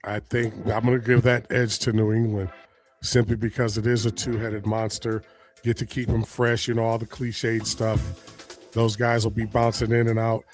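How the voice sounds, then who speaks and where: A man's voice: fairly low pitch, level tone, moderate pace, faint music behind. A man co-hosting a sports podcast in casual chat, sounding stuffy and congested from a cold, often repeating words while he thinks. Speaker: podcast co-host.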